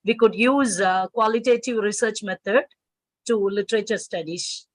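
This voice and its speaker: A woman's voice: mid-range voice, normal speed, dry recording. A woman moderating questions from the chat at an online workshop, polite and deferential. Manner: polite, addresses speaker as ma'am and sister. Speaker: session moderator. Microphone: video call.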